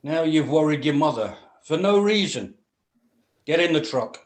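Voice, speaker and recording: A man acting a gruff, callous, domineering stepfather in a script read, scornful and given to exasperated orders. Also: on the high side, lively intonation, normal speed, lightly acted. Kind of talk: actor's script table read.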